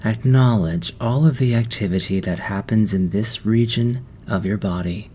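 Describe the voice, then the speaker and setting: A man guiding a meditation in a slow, soft, soothing voice with long pauses. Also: fairly low pitch, a little hiss or hum. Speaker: meditation guide. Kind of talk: guided meditation. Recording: music underneath.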